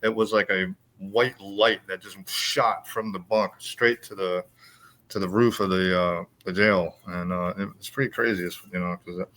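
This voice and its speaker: Middle-aged male podcast host, lower voice, rambling anecdotal delivery. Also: steady tone, moderate pace, a bit bright, low-quality recording. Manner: rambling, filler-heavy storytelling. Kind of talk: casual chat, storytelling.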